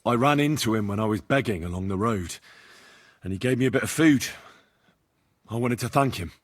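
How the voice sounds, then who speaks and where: An actor playing an earnest, polite young male commoner in a video game, with a husky voice. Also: on the low side, expressive, a little fast. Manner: polite, plain-spoken. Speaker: player character.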